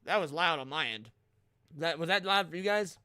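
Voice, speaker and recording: A man's voice: fairly high pitch, moderate pace, a crowd in the background. A man hosting a livestream, giving casual reaction commentary full of swearing and slang. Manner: profane, slangy. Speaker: livestream host. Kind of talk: casual live reaction commentary to horror series.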